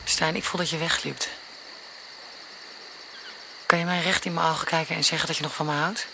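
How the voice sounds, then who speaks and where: An actress playing a hurt but resolute wife who talks directly and confrontingly in a radio drama. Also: female voice, low voice, fairly even intonation, fairly quick, creaky, sharp tone, a little hiss or hum, heavily acted, rough recording. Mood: hurt, firm. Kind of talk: Dutch radio drama acting.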